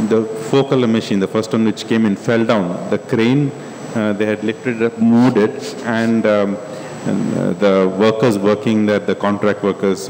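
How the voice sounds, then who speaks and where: Calm lower-voiced man, panel in a hall. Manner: calm, measured explaining. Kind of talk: panel discussion. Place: large stage hall. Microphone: handheld mic. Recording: echoey hall with faint hum.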